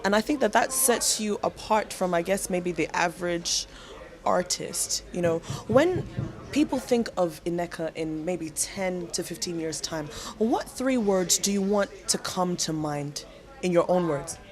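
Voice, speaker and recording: A woman's voice: lowish voice, animated, a little slow. A woman conducting a conversational interview, speaking in an encouraging, complimentary way to her guest.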